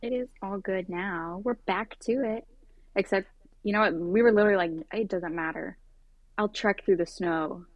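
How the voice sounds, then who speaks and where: A woman's voice: medium pitch, normal speed, no room sound, highs cut off. A woman co-hosting a podcast in casual, rambling chat, talking over a basic home setup on a video call. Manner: bubbly, rambling, says "like" and "literally" a lot. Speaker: podcast co-host.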